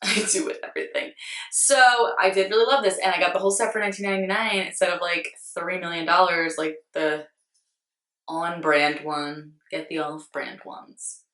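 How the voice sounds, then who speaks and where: A woman's voice: fairly low pitch, normal speed, fairly bright tone. A young woman chatting casually and playfully about her favourite makeup brushes at her vanity. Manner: chatty and giggly, playful asides. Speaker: beauty vlogger. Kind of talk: casual product review. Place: bedroom vanity with makeup shelves, indoors. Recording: roomy sound from a distant mic.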